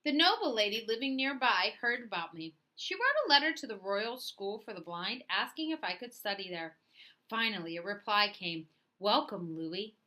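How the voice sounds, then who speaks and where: A woman reading a picture book aloud as a storyteller, with expressive, deliberate phrasing. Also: on the high side. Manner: dramatic, deliberate phrasing with acted dialogue. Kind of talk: expressive children's read-aloud narration.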